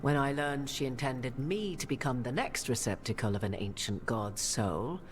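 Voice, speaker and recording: A woman playing a solemn human sorceress in a video game, speaking in measured, archaic, formal phrases. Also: extremely low pitch. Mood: solemn, reflective. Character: solemn human sorceress bearing an ancient goddess's memories. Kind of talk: dramatic video game dialogue. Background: prominent music.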